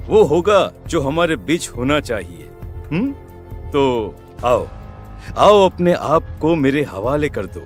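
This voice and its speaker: A man's voice: a little high, sing-song, slow pace, soft background music. A man playing a possessed village husband in a horror cartoon, coaxing and menacing in a leering way. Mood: leering menace.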